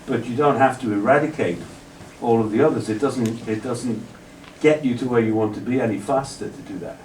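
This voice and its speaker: A man's voice: mid-range voice, fairly quick, a little mellow, far from the mic, slight background noise. A male lecturer answering an audience question at length, rambling with frequent restarts. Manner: discursive, many restarts and "you know". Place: lecture hall. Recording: roomy hall audio from afar.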